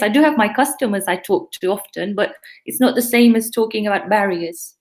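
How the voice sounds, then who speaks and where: A middle-aged woman from East Africa with a mixed East African and English accent, talking earnestly and reflectively as a guest in a casual interview. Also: mid-range voice. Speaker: guest, pharmacy owner.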